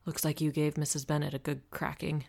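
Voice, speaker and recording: A woman voicing a calm, firm, protective man in an audiobook, speaking in a steady murmur. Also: low voice, brisk, gravelly. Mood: hushed, wry.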